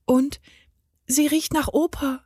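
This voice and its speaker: Woman as a high-voiced plucky little girl. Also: fairly even intonation, slow, warm tone, no echo, clean studio sound. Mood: pleading. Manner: childlike, direct. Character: plucky, curious little girl, tender and cheeky.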